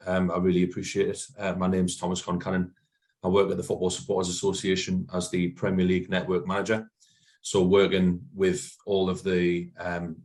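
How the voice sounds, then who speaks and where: A man's voice: sits low, a little mellow. A young man with a Newcastle accent giving an earnest guest talk at a meeting, with many fillers and restarts. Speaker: guest speaker.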